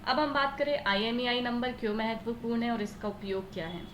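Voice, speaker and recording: A woman's voice: fairly high pitch, brisk. An Indian woman teacher explaining a lesson step by step, often asking questions and answering them herself. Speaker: computer teacher. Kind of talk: explanatory lesson in Hindi mixed with English terms. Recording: echoey room with faint background noise.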